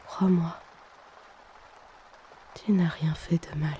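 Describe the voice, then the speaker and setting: A woman playing a soothing, motherly hypnotist and colleague in an ASMR audio roleplay, speaking in a hushed, reassuring manner. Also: low voice, fairly even intonation, slow, dull audio, slight background noise. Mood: tender, reassuring. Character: soothing, motherly female hypnotist and bar colleague comforting someone.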